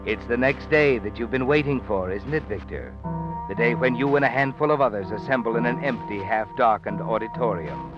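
A man's voice: medium pitch, expressive, a little fast, over loud music, close to an everyday voice. An actor playing an ominous, all-knowing narrator in old radio suspense, insinuating and dramatic as he addresses the hero. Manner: dramatic, insinuating, second-person telling. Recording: dull old radio recording, musical backing.

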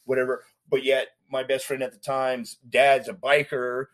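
A man tells his rough past in loose street slang, animated and talkative. His voice sits at an ordinary middle pitch for a man. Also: normal speed. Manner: street slang, animated retelling with acted quotes. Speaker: guest. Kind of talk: casual storytelling about his past.

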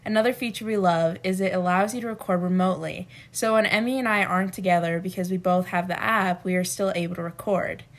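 A young woman co-hosting a podcast, speaking in a relaxed, casual way and sometimes drawing out her words. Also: fairly low pitch. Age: young adult. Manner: relaxed, drawn-out, laughs along. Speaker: podcast co-host. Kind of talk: casual chat.

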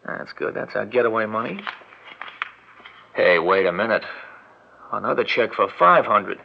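Street-smart crook; brash, gravelly, animated, on a muffled, slightly hissy vintage broadcast. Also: male voice, on the low side, normal speed. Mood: muttering. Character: wisecracking small-time male crook, street-smart. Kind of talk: 1940s radio acting. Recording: muffled old radio broadcast with faint noise.

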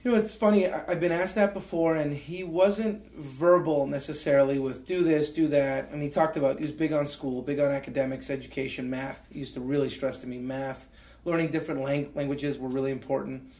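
A man's voice: fairly high pitch, fairly even intonation, brisk, mellow. A Canadian man in his forties, an interview guest telling personal stories in an earnest, reflective way, with many fillers and restarts. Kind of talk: reflective storytelling. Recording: roomy echo.

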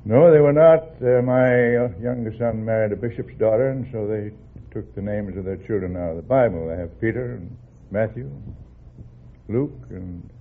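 A man's voice: fairly low pitch, normal speed. An American man in his seventies from Massachusetts, answering questions as a quiz show contestant. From Massachusetts, United States. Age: 70s. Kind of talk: answering a host's questions on stage. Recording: tinny phone-like old radio broadcast.

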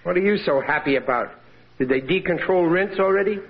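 An actor playing a harried working-class husband who is always broke, grumbling and exasperated in 1940s radio acting, on a muffled old broadcast. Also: male voice, high voice, fairly quick.

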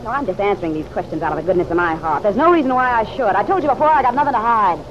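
Hard-boiled, sharp-tongued dame, played by an actress on muffled old radio. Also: female voice, medium pitch, animated, racing, lightly acted. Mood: defiant. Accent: American. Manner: curt, slangy, sardonic. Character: hard-boiled, sharp-tongued working-class woman, defiant. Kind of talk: old-time radio drama acting. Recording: muffled vintage radio, faint noise.

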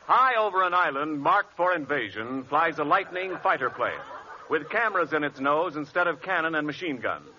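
A man's voice: a little high, expressive, normal speed, pushed and bright, a little hiss or hum. A man reading a radio advertisement in an earnest, polished announcer style. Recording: dull vintage radio broadcast.

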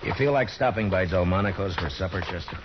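An actor playing a stern, calm frontier lawman who talks tersely and firmly, in a 1950s radio drama. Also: sits low, quick pace, background noise, lightly acted. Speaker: narrator and lead. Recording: dull lo-fi 1950s radio broadcast with music.

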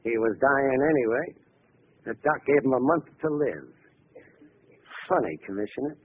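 Actor as a menacing American gangster, tinny old radio. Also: male voice, medium pitch, lively intonation, normal speed, natural voice. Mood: gloating, menacing. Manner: menacing, taunting, slangy tough talk. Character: cocky, vengeful male gangster back from supposed execution. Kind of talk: 1940s radio acting. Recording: noisy, tinny old radio broadcast recording.

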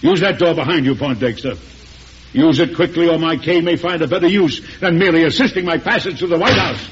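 A man's voice: a little high, brisk, natural voice. An older man playing an aging, stern and wry American president in an old-time radio drama, formal and commanding. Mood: angry, commanding. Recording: hissy, muffled vintage radio recording.

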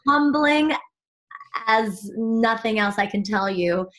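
A woman's voice: fairly high pitch, lively intonation, slow, rough recording. A woman from Switzerland, a spiritual teacher, talking earnestly about her path as a podcast guest, often restarting her sentences as she searches for words. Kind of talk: reflective podcast conversation.

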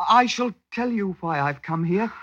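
Slow, breathy young romantic thief. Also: male voice, high voice, lively intonation, no room sound, heavily acted. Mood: defiant. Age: young adult. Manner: hushed, ardent, florid. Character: youthful, smooth-talking romantic thief. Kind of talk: old-time radio drama acting. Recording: dull old radio recording.

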